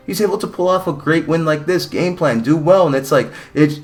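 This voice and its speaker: A young man from Mexico giving a blunt, rambling, opinionated fight recap with casual swearing. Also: a little high. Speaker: combat sports YouTuber.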